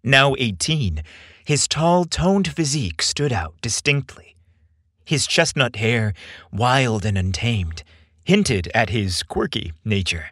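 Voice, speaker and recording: Expressive, husky male storyteller. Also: medium pitch, slow, dry recording, studio quality. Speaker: podcast host and story narrator. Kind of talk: scripted story narration.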